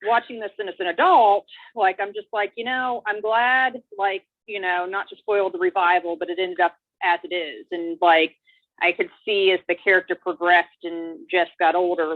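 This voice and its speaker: A woman's voice: lowish voice, dry recording. A woman in her thirties chatting casually on a video call, rambling with lots of fillers and restarts. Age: 30s. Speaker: viewer joining a watch-along chat. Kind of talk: casual chat. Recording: tinny phone-like dry line.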